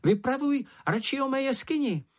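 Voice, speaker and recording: A storybook reader voicing a small, boastful, excitable young male creature with a whiny, exclaiming manner. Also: high voice, voice pushed up. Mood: boastful, excited. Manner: exclaiming, self-important.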